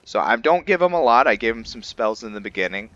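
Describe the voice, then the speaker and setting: A man's voice: medium pitch, big pitch swings, quick pace, pushed and bright, no echo, lo-fi sound. A man giving casual gameplay commentary as a let's player, thinking aloud in short bursts.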